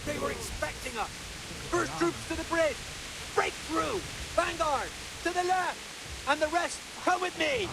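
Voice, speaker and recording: An actor playing a fierce, desperate medieval battle captain in a game, yelling urgent commands. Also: male voice, very high voice, measured pace, gravelly, exaggerated voice. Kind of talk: dramatic game battle acting. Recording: loud rainy hiss behind.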